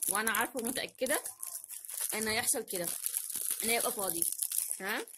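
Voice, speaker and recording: A woman with an Egyptian Arabic accent chatting casually as host of an unboxing vlog, repeating phrases for emphasis in an animated way. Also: a little high, fast talker. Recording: noisy home recording, crinkling packets.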